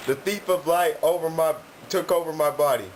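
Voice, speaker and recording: A man's voice: on the high side, steady tone, moderate pace, slight background noise, close to an everyday voice. A teenage boy voicing a despairing young male hero in a homemade puppet show. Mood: dejected. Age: teens. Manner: whiny, dejected.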